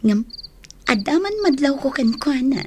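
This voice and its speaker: A woman's voice: high voice, expressive, unhurried, darkish tone, a little hiss or hum. A woman with a Filipino accent playing a nosy, teasing young office confidante in a radio drama.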